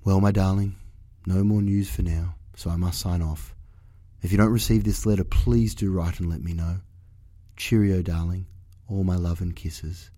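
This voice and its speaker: A man acts a lovesick 1940s airman reading his letter to his sweetheart. The voice is very low and soft-edged, tender and intimate, recorded very dry. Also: steady tone, normal speed, dry recording, lightly acted. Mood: affectionate, resigned.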